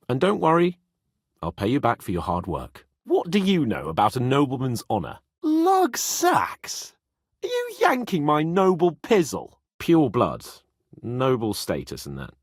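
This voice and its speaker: Haughty young nobleman, wildly swooping pitch. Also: wildly swooping pitch, measured pace, husky, no room sound, clean studio sound, exaggerated voice. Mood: breezy, then indignant, haughty. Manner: haughty, sardonic, emphatic. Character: haughty, cocky young medieval nobleman, brash and foul-mouthed. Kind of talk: video game character dialogue.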